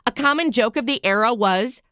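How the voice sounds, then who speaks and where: A woman's voice: on the high side, fairly quick, a bit bright, no room sound, clean studio sound. An American woman hosting a podcast, narrating scripted but informal history in a conversational storytelling way with wry asides. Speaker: podcast host.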